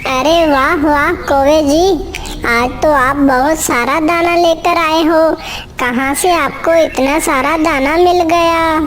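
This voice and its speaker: A woman's voice: high-pitched, fairly even intonation, slow pace. A woman voicing a small, sweet female bird in a children's cartoon, speaking in a plain, earnest way. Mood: delighted. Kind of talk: children's cartoon character dialogue.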